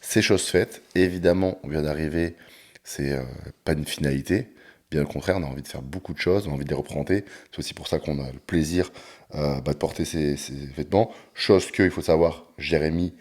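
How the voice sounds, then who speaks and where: A man hosting a casual podcast chat, talking in a relaxed way with frequent hesitations. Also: extremely low pitch, fairly quick. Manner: relaxed, talks with many hesitations. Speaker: podcast host and coach.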